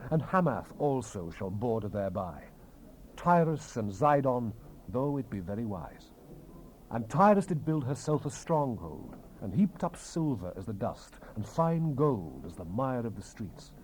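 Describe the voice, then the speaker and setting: A man's voice: medium pitch, big pitch swings, unhurried, darkish tone, poor audio. A man giving a solemn, declamatory and theatrical dramatized reading of King James scripture on a hissy old cassette recording. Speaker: scripture narrator. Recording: hissy 1970s cassette rip.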